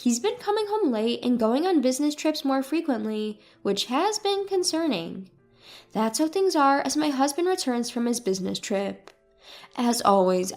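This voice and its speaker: A woman reading a scripted first-person dramatic story as its narrator. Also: on the high side. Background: music.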